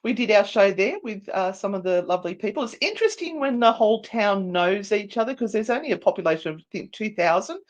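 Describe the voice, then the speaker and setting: A woman's voice: medium pitch, lively intonation, quick pace, few pauses. An Australian woman co-hosting a live show in casual, easily sidetracked, giggly banter. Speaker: co-host of a paranormal live show.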